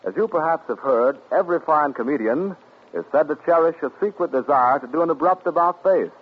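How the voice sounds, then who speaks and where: A man's voice: on the high side, brisk, slightly rough. A man announcing in a polished, ceremonious 1940s broadcast style. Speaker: radio announcer. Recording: tinny 1940s radio broadcast.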